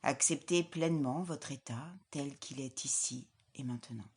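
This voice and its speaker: A woman leading a guided yoga nidra relaxation as an instructor, in a calm, soothing, measured way with soft, breathy phrase endings. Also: a bass voice. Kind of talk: guided relaxation meditation, scripted.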